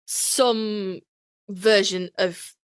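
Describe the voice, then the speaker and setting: A woman's voice: medium pitch, steady tone, drawn-out words, clean tone, dry recording. A woman co-hosting a podcast in casual fan chat, speaking emphatically with plenty of swearing and teasing. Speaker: podcast co-host.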